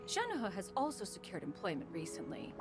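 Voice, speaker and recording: A woman's voice: mid-range voice, huge pitch swings, a little fast. A woman voicing a proud, dignified immortal mentor in a video game, speaking in a haughty, formal, archaic way. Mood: wistful. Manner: haughty, formal, archaic, calls herself "one". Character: proud, dignified immortal adept woman, doting mother figure. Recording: game music behind voice.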